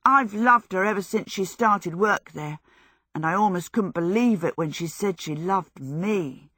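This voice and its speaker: A woman voicing a jubilant, excitable teenage apprentice boy in love, gushing, in a storybook. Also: mid-range voice, measured pace, breathy edge, dry recording, clean studio sound. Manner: exuberant, gushing. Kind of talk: storybook character voice.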